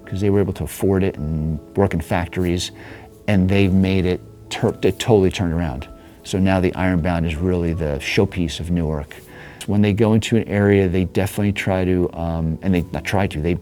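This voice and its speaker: A man's voice: sits low, warm tone. A man being interviewed, telling a long, rambling story about his neighborhood's history in a casual way. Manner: rambling, restarts, chuckles.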